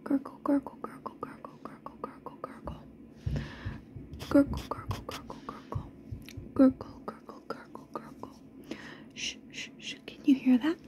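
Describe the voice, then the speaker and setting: A woman's voice: sits high, flat delivery, moderate pace. Whispering woman repeating trigger words. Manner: gentle, playful, soothing. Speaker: ASMR creator. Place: indoors at home.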